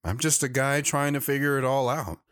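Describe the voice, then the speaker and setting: A man's voice: medium pitch, moderate pace, fairly bright tone, smooth, close to an everyday voice. A man playing a devoted, reflective wandering father who tells his own story in an audio drama, earnest and sentimental. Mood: reflective, humble. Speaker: narrator.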